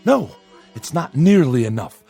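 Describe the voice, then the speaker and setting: Nervous folksy father, pitch swinging widely. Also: sing-song, creaky, warm tone, slightly rough, soft background music, heavily acted. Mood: bitter, despairing. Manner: folksy, rustic grammar; nervous, forced cheerfulness with halting stammers. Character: anxious small-town father placating his feared son. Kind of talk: radio drama acting.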